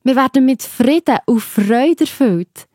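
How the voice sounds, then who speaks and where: A woman narrator with a fairly high but mellow, husky voice tells a children's story in a Swiss German accent. She speaks simply and directly to young listeners, recorded dry and close. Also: lively intonation, measured pace, dry recording.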